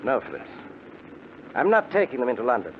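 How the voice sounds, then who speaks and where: A man's voice: medium pitch, huge pitch swings, brisk. A man playing a stern, authoritative ship's captain in a 1950s radio drama, formal and firm. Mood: coldly commanding. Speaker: radio actor. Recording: muffled, slightly noisy 1950s radio broadcast.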